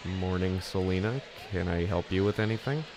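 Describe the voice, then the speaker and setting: A man's voice: low voice, normal speed, over music. A man playing a reserved, polite, brooding rich teenage boy in an audio drama, hesitant and understated. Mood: awkward.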